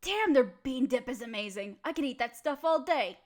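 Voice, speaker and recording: A woman's voice: sits high, lively intonation, moderate pace. A woman acting a bored, chatty young roommate in a casual audio roleplay, talking in a slangy, dramatic, playfully complaining way.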